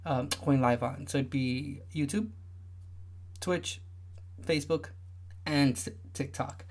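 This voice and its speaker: A young man with a high-pitched voice that often gets taken for a woman's, hosting a casual livestream and rambling with lots of fillers and restarts. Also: darkish tone. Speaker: livestream host.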